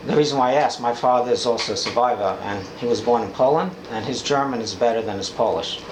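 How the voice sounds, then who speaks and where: A man's voice: mid-range voice, fast. A man interviewing someone informally, asking short questions and repeating answers back to prompt the speaker. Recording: echoey, noisy room recording.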